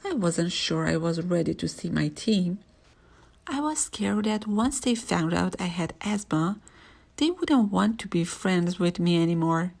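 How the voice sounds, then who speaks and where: Animated woman reading a children's story, slightly creaky. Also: lowish voice, measured pace. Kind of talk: expressive read-aloud of a children's story, first-person narration.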